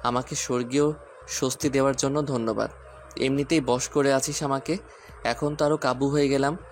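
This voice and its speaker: A man playing a teasing, romantic husband and protective father who can turn gruff, in an audio story drama. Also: medium pitch, steady tone, normal speed, slight background noise, lightly acted. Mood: tender, adoring.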